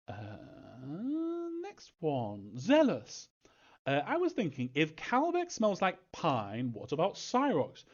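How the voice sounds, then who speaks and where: A man's voice: fairly high pitch, wildly swooping pitch, slow pace, highs cut off. A man answering fan questions as a game creator in a casual live Q&A, rambling hesitantly and thinking aloud as he goes.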